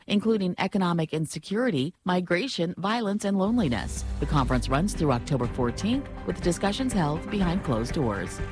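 A woman reading the news for a radio station in a measured, even delivery. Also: low-pitched. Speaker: newsreader.

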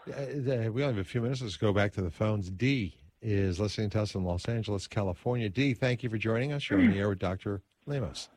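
A man hosting a radio talk show, taking calls and steering the guest. He speaks in a brisk, assured broadcaster's manner. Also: lowish voice, a little mellow, no room sound.

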